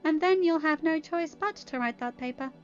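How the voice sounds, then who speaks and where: Woman acting sweet teen girl, chatty, high voice, storybook character, lo-fi sound. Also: normal speed, soft background music, lightly acted. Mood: eager.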